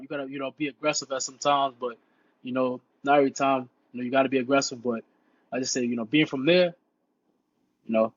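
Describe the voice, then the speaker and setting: A man's voice: medium pitch, no echo. Brief: young New guy, easygoing guest, constant "you know" filler, muffled lo-fi, dead-dry room. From Queens, New York. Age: young adult. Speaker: podcast guest, high school football player. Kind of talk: casual interview chat. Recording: dull, dry lo-fi sound.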